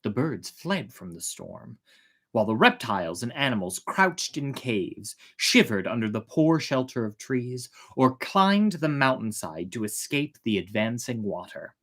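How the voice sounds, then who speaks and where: A storyteller narrating a folk tale in a theatrical way, with drawn-out, emphatic words. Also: medium pitch, big pitch swings, a bit bright. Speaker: storyteller, host of a storytime show.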